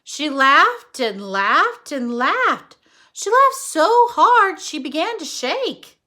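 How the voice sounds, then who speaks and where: Animated, high-voiced woman reading a story aloud. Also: slow pace. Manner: expressive, explains simply, often checks in with listeners. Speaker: teacher reading aloud to children. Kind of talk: animated read-aloud storytelling with explanatory asides.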